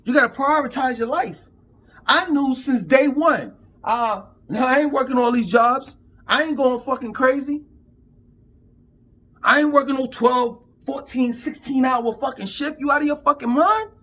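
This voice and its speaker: A man's voice: extremely high pitch, measured pace, fairly bright tone, rough recording. A man in his fifties giving an emphatic, profane, preachy rant to camera in street talk. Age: 50s. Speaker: vlogger.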